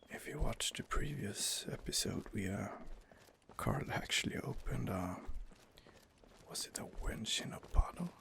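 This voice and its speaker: A man giving soft, hesitant, whispered ASMR commentary over gameplay into a close home microphone, pausing often on fillers. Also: on the low side, vocal fry. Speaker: let's play host.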